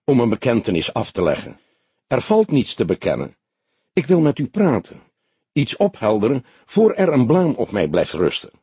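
A man voicing a woman civil servant under questioning in an audiobook, soft, careful and halting, defending herself. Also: mid-range voice, wildly swooping pitch, slightly rough, no echo, full character voice. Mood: soft, earnest. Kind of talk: audiobook character dialogue.

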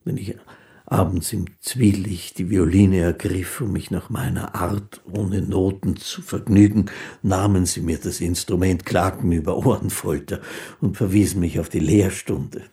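An actor playing a gentle, humble old street musician in a radio play, halting, polite and wistful. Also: male voice, sits low, fairly even intonation. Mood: pained memory.

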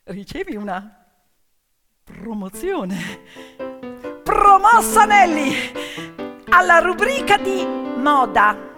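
A woman's voice: extremely high pitch, very animated, slow, long pauses. A woman stage storyteller narrating dramatically, with emphatic, short, suspenseful phrases. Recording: reverberant hall with piano music. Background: piano music.

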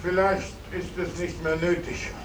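Casting: stiffly polite, proud soldier, high-pitched, radio-play style, off-mic with faint hiss. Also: male voice, level tone, a little slow. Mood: puzzled, hurt. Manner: stiffly polite, speaks in third person. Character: Simon, formal, proud, courteous returning soldier sweetheart. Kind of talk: 1950s German radio drama acting. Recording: roomy, distant 1950s radio recording, faint hiss.

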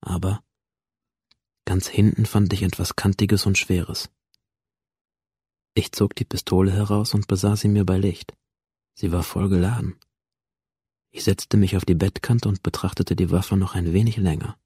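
A man's voice: sits low, little pitch movement, moderate pace, pauses often, darkish tone, breathy edge, no echo, studio quality. An actor playing a bitter, withdrawn young man who recounts his past to a doctor in a matter-of-fact, understated way in a radio drama. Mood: brooding, detached. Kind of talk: radio drama, introspective first-person narration.

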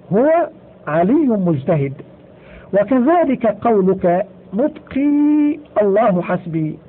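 A man reading a grammar textbook aloud in a measured way, slowing down to stress each example. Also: high-pitched, breathy edge. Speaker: audiobook reader. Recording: tinny phone-like audio.

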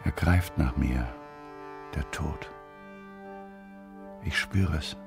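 A grave, obsessed killer in a radio drama. His very low voice is gravelly and creaking, moving haltingly through a dark inner monologue. Cello and strings play clearly behind him. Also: heavily acted. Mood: grave, brooding. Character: obsessed killer, grave brooding man feeling death approach. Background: cello and string music.

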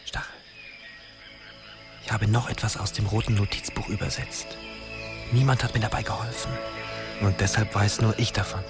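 An actor playing a scheming, secretive fantasy adventurer in a radio drama, speaking in a deliberate, conspiratorial way. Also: male voice, lowish voice, fairly even intonation, close to an everyday voice. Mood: secretive, confident. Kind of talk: German radio drama dialogue. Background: music.

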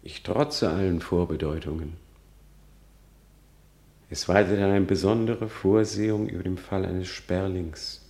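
An actor playing a melancholy, defiant young prince in a radio tragedy, theatrical, moving between brooding and fierce outcry. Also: male voice, sits low, steady tone, a little slow, gravelly, pauses often, mellow, long reverb tail. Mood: brooding, resigned. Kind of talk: classic radio play acting of stage tragedy.

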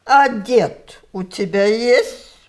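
A woman's voice: on the high side, very slow. An actress playing a feisty, curious, forgetful old great-grandmother in a theatrical radio play. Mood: headstrong, playful. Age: older. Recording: studio audio drama.

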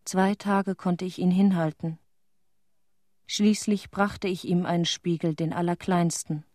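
A grieving, haunted middle-aged widow played by an actress gives an intimate radio play testimony. Her voice is soft-edged and mellow, recorded very dry. She speaks with restraint, in short measured sentences with pauses. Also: lowish voice, steady tone, no echo, close to an everyday voice. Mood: sombre, restrained. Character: grieving widow of a Chernobyl victim, tender, haunted.

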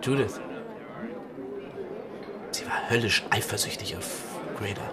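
A young actor in a radio play voicing an ambitious young stage actor who talks hesitantly and gossips slyly. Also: male voice, lowish voice, measured pace, over a noisy crowd, natural voice. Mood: cagey, gossiping. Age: young adult.

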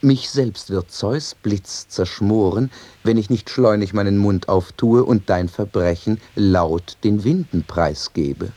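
An actor playing a stern, unbending messenger god with grave, declamatory speech in a radio play. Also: male voice, fairly low pitch, takes it slowly, dark tone, no echo. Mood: solemn. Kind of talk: theatrical radio play acting.

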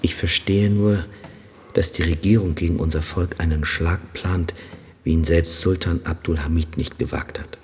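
A man acts out a resigned, cautious Armenian village priest in a radio drama. His voice is extremely deep and rings in a long reverb. The delivery is formal, solemn and measured. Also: fairly even intonation, darkish tone, natural voice. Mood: grim. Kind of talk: German radio drama acting.

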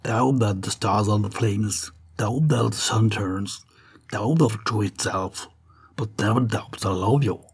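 A man voicing a young American woman singer from Texas, speaking softly with a put-on Texan twang in her English lines. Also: lowish voice, takes it slowly, gravelly, slightly rough, exaggerated voice. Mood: reciting.

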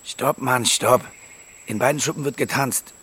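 An actor playing a crook's streetwise, lecturing sidekick in a radio play, with a rough voice. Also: male voice, medium pitch, a little slow, bright, forceful tone, full character voice. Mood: cautioning. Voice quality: very husky, rough.

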